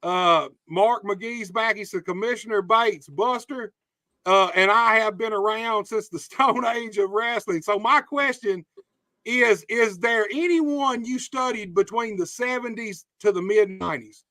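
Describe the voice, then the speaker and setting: Man, high voice, sharp bright tone, blunt podcast host, dry close sound. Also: unhurried, no room sound. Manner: blunt, challenging, reads viewer comments aloud. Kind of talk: casual interview.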